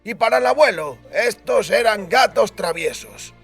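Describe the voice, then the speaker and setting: Elderly nobleman for audiobook drama, male actor, high-pitched, reminiscing storyteller, music underneath. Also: expressive, measured pace, a bit bright, husky, exaggerated voice. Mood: nostalgic. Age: older. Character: frail old duke fondly recalling his royal grandfather.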